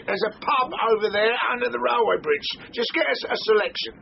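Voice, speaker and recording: An actor with an English accent playing a gruff, sardonic, bossy police chief inspector in a British radio drama. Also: male voice, very high-pitched, a little fast, full character voice. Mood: bossy, dry. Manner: sardonic, blunt, bossy, complaining. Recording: dull, noisy radio drama recording.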